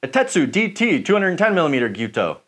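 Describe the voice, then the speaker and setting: A man giving a relaxed, chatty review, rambling with lots of fillers as he chops. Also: fairly high pitch, fast talker, a crowd in the background. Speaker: knife shop reviewer. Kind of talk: casual product review while chopping.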